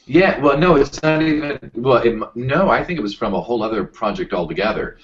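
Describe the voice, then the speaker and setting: A man with a very low voice, a voice actor chatting casually as a podcast guest, rambling and self-deprecating as he tells stories. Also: expressive, fairly quick, muffled recording, a little hiss or hum.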